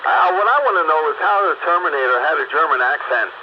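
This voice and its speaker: A man's voice: on the high side, expressive, fast. A man chatting casually as an amateur radio operator over a two-way radio. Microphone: two-way radio microphone. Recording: muffled, noisy amateur radio sideband transmission.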